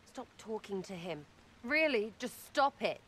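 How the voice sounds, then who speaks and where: Brash English rogue, sharp and animated. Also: female voice, medium pitch, a little slow, a little hiss or hum. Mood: scornful. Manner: cheeky, slangy, blunt, swearing freely. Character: brash, irreverent young female rogue archer, scrappy and foul-mouthed. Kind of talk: video game character acting.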